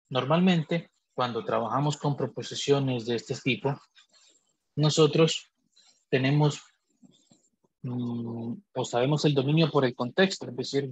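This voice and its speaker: Husky-voiced man calmly teaching math. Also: mid-range voice, highs cut off. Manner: measured, deliberate, thinks aloud with pauses and self-corrections. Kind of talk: explaining logic notation on a digital whiteboard.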